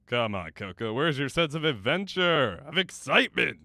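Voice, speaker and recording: A man's voice: medium pitch, sing-song, moderate pace, bright, forceful tone, dry recording, clean studio sound. A man voicing a cocky, sarcastic blind teenage boy in a fan audio drama.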